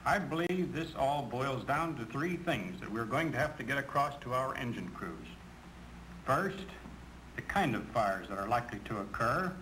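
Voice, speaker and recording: Methodical American male official played by a man, deliberate 1950s training film delivery, mid-range voice. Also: medium pitch, lively intonation, pushed and bright. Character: methodical railroad official listing points. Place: conference room. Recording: noisy 1950s film soundtrack.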